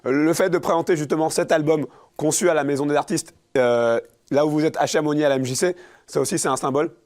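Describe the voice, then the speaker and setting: A male TV host interviewing a guest with brisk, direct questions. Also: a little high, darkish tone.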